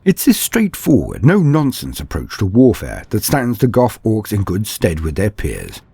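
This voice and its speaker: A man giving theatrical, measured scripted narration in the style of a fantasy storyteller. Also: fairly low pitch, huge pitch swings, creaky, warm tone, slightly rough, no echo. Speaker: narrator of a fantasy lore guide.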